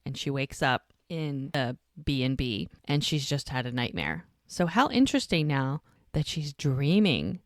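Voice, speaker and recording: A woman's voice: a bass voice, a little slow, hardly any pauses, dry recording, clean studio sound. A woman co-hosting a podcast, casually recapping a TV episode and acting out the characters' lines. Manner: animated retelling, acts out characters' lines. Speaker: podcast co-host. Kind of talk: casual rewatch recap chat.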